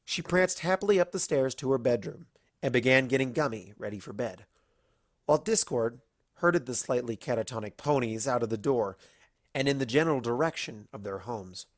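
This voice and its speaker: A woman's voice: rumbling low, lively intonation, moderate pace, no room sound, clean studio sound. A woman narrating a comic story as a reader, in a storytelling style. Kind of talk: lively narration of a comic fan fiction story.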